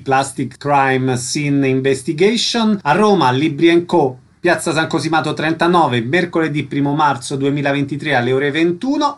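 A man hosting a radio programme, announcing details and introducing guests. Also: a little high. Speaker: radio host.

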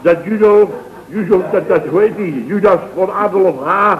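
An actor playing an indignant middle-aged boss of a building firm in an old radio comedy, exclamatory and colloquial, with a Dutch accent. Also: male voice, sits high, normal speed, crowd noise, natural voice. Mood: indignant storytelling. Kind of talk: 1970s radio comedy acting. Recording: dull, noisy old radio recording.

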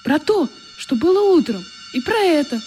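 A woman's voice: high-pitched, big pitch swings, normal speed, darkish tone, soft background music. A woman playing an earnest, pensive youngster in a radio drama. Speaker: actor in a radio play.

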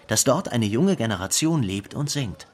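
An actor playing a singer's proud younger brother in a radio drama, telling memories in a plain, conversational way. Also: male voice, mid-range voice, animated, moderate pace, noisy background, close to an everyday voice. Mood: fond, proud.

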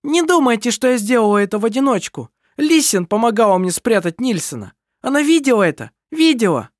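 A reader voicing a furious young woman who shouts accusations in an audiobook. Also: sing-song, fairly quick, dry recording, clean studio sound, natural voice. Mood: angry. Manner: shrill, shouting.

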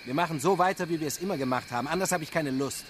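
A man's voice: on the high side, noisy background, lightly acted. An actor playing an embittered, domineering man stranded on a lonely planet in a science fiction radio drama. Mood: scornful, irritated. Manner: scornful in quarrels, halting and pleading when desperate. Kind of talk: German radio drama acting.

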